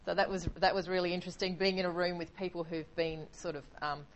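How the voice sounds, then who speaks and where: Woman, casual, chatty, slightly low voice. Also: level tone, quick pace, poor audio. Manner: chatty, many fillers. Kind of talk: casual explanation.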